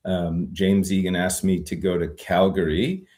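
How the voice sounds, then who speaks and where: Deep-voiced man, reflective and meandering. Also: level tone, measured pace, darkish tone. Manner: reflective, many fillers and restarts. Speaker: guest, retired immigration lawyer. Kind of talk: conversational interview.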